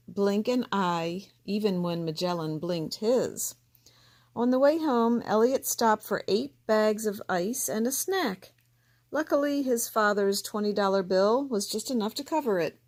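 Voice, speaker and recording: A woman reading a children's picture book aloud in a gentle, expressive way. She narrates slowly and gives each character a voice of its own. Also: medium pitch. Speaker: storybook reader.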